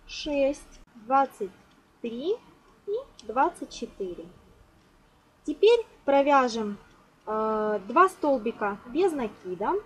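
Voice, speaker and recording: A woman giving a step-by-step craft tutorial in a reassuring, instructional way, often counting aloud. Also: high-pitched. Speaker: crochet tutorial host. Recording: noisy home recording.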